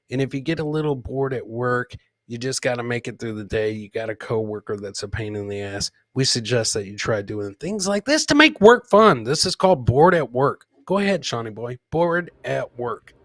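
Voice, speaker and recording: A man's voice: medium pitch, animated, moderate pace, dry recording. A man hosting a live comedy show, commenting on clips casually and informally, repeating his lines like a showman. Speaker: podcast host.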